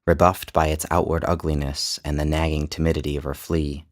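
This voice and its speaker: A man narrating his own literary short story in a measured, descriptive storytelling manner. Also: very deep voice, level tone. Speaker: author and narrator.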